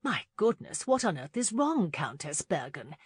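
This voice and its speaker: A woman's voice: on the low side, sing-song, slow, darkish tone, husky, no room sound, heavily acted. A woman voicing a gracious, concerned duchess with a light, tactful manner in an audiobook novel. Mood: alarmed concern.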